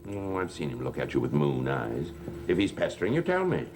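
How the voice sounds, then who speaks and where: A man's voice: very deep voice, wildly swooping pitch, fairly quick, a little mellow, a little hiss or hum, full character voice. An actor playing an overbearing stepfather who talks in a coaxing, insinuating way, from an old television soap opera. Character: smooth, overbearing stepfather of the house. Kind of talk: 1970s soap opera acting.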